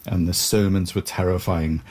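Husky low-voiced man. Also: steady tone, normal speed, a little mellow. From Wales. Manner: thoughtful, wry, occasional restarts. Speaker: interview guest. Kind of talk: reflective storytelling in conversation.

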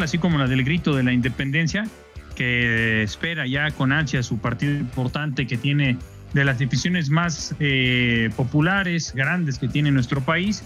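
A man from Mexico giving a friendly sports news report, pausing now and then with fillers. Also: medium pitch, a bit bright.

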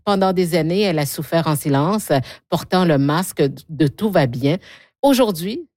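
A woman's voice: medium pitch, darkish tone, no echo, studio quality. A woman hosting a radio interview, introducing her guest and asking leading questions. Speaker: radio host.

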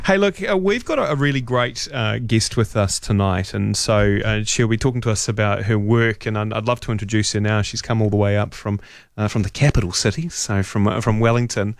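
A man's voice: lowish voice, a little fast, vocal fry, dry recording, studio quality. A middle-aged man hosting a radio talk show, chatting and rambling with fillers and restarts. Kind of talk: casual radio chat. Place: radio studio.

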